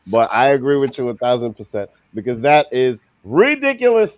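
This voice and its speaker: A man hosting a film review show in casual, informal chat with an animated manner. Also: mid-range voice, moderate pace, dry recording, lo-fi sound.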